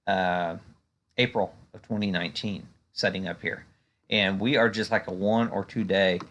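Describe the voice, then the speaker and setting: A man giving unscripted stock market commentary in a conversational, halting way, often padding with "you know". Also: lowish voice, fairly bright tone. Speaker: stock market analyst and video host.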